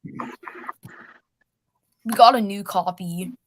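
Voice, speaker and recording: A woman's voice: medium pitch, slow. A woman guest chatting casually on a video call, teasing and quizzing the others.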